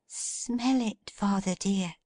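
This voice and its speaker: A woman voicing a cheerful young woman who teases her father in a bright, eager, playful way. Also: medium pitch, slow pace, no room sound, voice pushed up. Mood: hushed, teasing.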